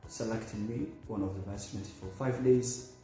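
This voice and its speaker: A man from Somalia speaking about a course he took, in a halting way with repeats and fillers. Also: fairly low pitch, fairly even intonation, dark tone, heavy reverb, soft background music. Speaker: course participant, academic director. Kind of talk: testimonial.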